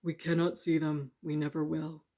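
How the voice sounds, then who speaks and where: A woman's voice: low voice, level tone, moderate pace, mellow. A woman voicing a glum old storybook stone with a plodding, solemn manner. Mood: glum, wistful. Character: two old stones on a hill, glum and resigned. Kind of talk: children's storybook character voice.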